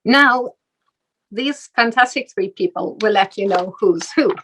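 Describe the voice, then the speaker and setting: A woman's voice: medium pitch, a little slow, a bit bright, breathy edge, poor audio. A woman moderating a live panel discussion, speaking with frequent hesitations and fillers. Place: event stage.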